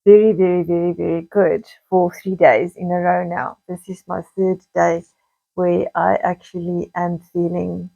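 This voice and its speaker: South African woman with a slightly croaky voice. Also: on the low side, flat delivery, measured pace, dark tone, highs cut off. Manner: rambling, repeats words and trails into asides. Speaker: video blogger. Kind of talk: casual chatty vlog monologue.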